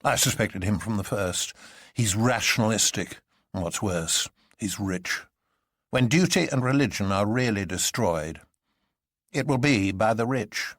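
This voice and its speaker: A man's voice: fairly low pitch, animated, measured pace, fairly bright tone, no room sound, studio quality. A man voicing a bold idealist who is earnest and a little sardonic in a story.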